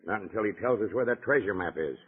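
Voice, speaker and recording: An American man playing a cold, calculating outlaw gang boss in a radio western, with a husky, hard, commanding voice. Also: on the low side, lively intonation, fast talker. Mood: cold, scheming. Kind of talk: 1950s radio serial acting. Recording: dull, reverberant old radio recording.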